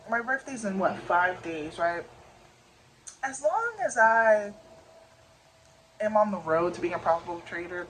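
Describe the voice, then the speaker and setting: A woman's voice: mid-range voice, a bit bright, slight background noise, poor audio. A woman in her twenties talking casually to camera in a vlog, thinking aloud and often asking "you know?". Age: 20s. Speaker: vlogger.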